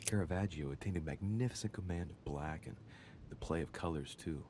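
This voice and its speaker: An actor playing a brooding investigator in a video game, speaking in a measured, solemn and formal way. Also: male voice, deep voice, dark tone, a little hiss or hum, natural voice. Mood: pensive. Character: brooding male investigator examining religious paintings and scripture. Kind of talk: scripted video game voice acting.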